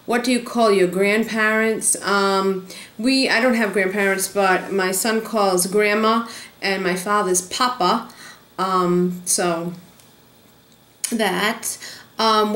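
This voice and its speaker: A woman's voice: fairly high pitch, a bit bright, reverberant. A woman in her thirties from Rhode Island, with a Rhode Island accent, chatting casually through an accent tag vlog with plenty of ums. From Rhode Island, USA. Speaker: vlogger.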